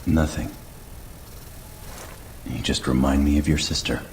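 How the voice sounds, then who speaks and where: A man's voice: very deep voice, fairly even intonation, measured pace. A man voicing a brooding, taciturn hero in a video game, speaking in terse, subdued phrases. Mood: brooding, quiet. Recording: echoey game audio with clear noise.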